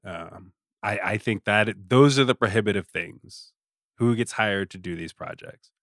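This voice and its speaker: A man's voice: fairly low pitch, unhurried, fairly bright tone, no room sound, clean studio sound. A man chatting casually as an opinionated podcast guest, with informal, emphatic phrasing. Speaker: podcast guest, staff writer.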